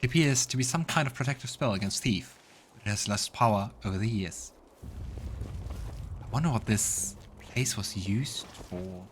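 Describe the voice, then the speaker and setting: A man's voice: on the low side, moderate pace, slight background noise. A man hosting a let's play, mixing casual game commentary with narration read aloud. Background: game music.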